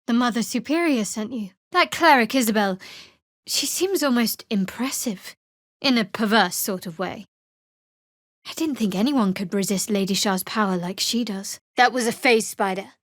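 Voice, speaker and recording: An actress playing a guarded, sardonic young female cleric of a dark goddess in a video game, with dry, measured delivery. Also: mid-range voice, dry recording, natural voice. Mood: guarded, intent.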